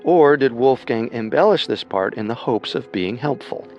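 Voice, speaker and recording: A man co-hosting a scripted true-crime show, narrating in a measured storytelling manner. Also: mid-range voice, animated, lo-fi sound, faint music behind. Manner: measured storytelling with rhetorical questions. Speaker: co-host narrator.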